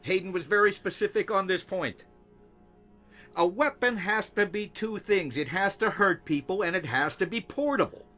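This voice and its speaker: A man playing a smoothly bureaucratic, unflappable boss in an audio drama, measured and matter-of-fact. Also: high-pitched, bright, forceful tone. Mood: calm, matter-of-fact. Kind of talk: noir audio drama acting. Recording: music bed under voice.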